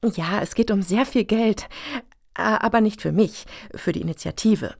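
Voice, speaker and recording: An actress in a satirical radio play as a self-important, gushing middle-aged woman pitching her initiative with earnest jargon. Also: normal speed, vocal fry, darkish tone, no room sound, studio quality. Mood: chatty.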